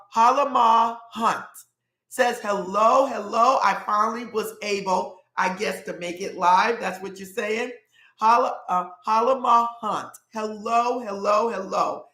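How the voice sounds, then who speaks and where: A woman's voice: mid-range voice, sharp tone. Nasal middle-aged woman, live-stream host, upbeat chat reading, echoey room. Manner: upbeat, repeats "love it" and "okay".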